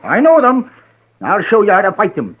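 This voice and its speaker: Casting: old Western crackpot, raised pitch, wildly animated, blustering and stammering, muffled vintage radio sound. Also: male voice, moderate pace, husky, exaggerated voice. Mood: excited boasting. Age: older. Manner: rambling, blustering, stammering, repeats himself. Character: excitable, bloodthirsty, boastful old frontier man, touched in head. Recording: dull vintage radio recording.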